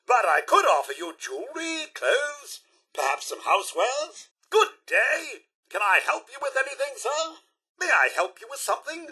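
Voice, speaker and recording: A man's voice: very high-pitched, sing-song, moderate pace, sharp tone, husky, exaggerated voice. A man voicing a fussy, devoted robot butler in a video game, with a British accent and a formal, theatrical, effusively polite delivery. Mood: courteous, attentive. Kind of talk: video game character voice acting.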